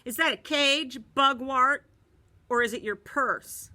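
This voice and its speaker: A woman's voice: high-pitched, slow pace, bright, forceful tone, voice pushed up, full character voice. A woman voicing a mean schoolboy bully who turns into a shrieking coward. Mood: mocking. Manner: shrieking, mocking. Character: loud whiny boy bully, panicky coward.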